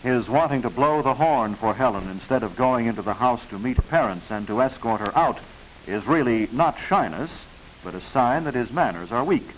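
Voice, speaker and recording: An American man reading scripted narration for a 1940s educational film, instructive and proper, with measured enunciation. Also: mid-range voice, animated. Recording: hissy, muffled 1940s film soundtrack.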